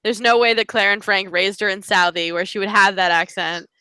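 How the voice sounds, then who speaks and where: A young woman co-hosting a casual TV recap chat, emphatic and quick to repeat herself for effect. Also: medium pitch, sharp tone, clear, resonant tone, dry recording. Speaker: co-host.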